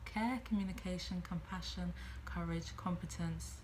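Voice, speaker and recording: A woman's voice: on the low side, steady tone, normal speed, darkish tone, a little hiss or hum, rough recording. A woman in her late teens talking casually to camera as a student midwife giving advice, chatty, thinking aloud and repeating herself.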